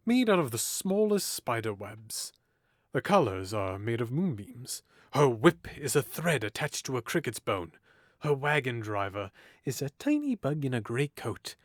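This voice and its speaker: An actor playing a witty, mocking young nobleman in an audio drama, playful and theatrical, with hushed and loud moments. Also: medium pitch, no room sound, studio quality.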